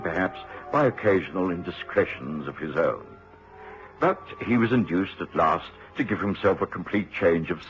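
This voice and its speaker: A dull, hissy vintage radio recording of a hearty British doctor. His low voice swings expressively as he talks and narrates in old-fashioned radio drama style. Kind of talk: mid-century radio drama acting and narration.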